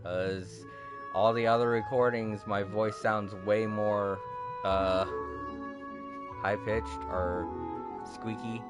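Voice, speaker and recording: Sleepy low-voiced man streaming. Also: steady tone, loud music behind the voice. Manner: rambling, halting with many fillers, self-deprecating. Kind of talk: casual livestream chat. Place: home room with a TV. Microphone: cardioid desk microphone.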